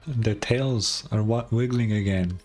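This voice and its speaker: A woman's voice: very deep voice, takes it slowly, over music. A woman streamer giving casual, laid-back, brief reactions to a video game.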